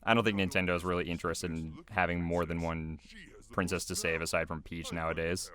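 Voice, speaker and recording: A man's voice: low-pitched, flat delivery, brisk, bright, forceful tone. A man giving casual, unscripted behind-the-scenes commentary in a rambling, hesitant way.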